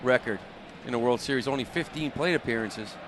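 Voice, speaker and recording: A man's voice: mid-range voice, a little fast. A man giving informal, explanatory analysis during a live baseball radio broadcast. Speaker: color analyst. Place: stadium broadcast booth. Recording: faint stadium noise behind a broadcast.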